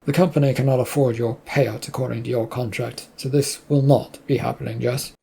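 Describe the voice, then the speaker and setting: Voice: medium pitch, steady tone, a little fast, gravelly, mellow, slightly rough. A narrator voicing a stern, accusing male company boss, insistent and blunt, in an audio story.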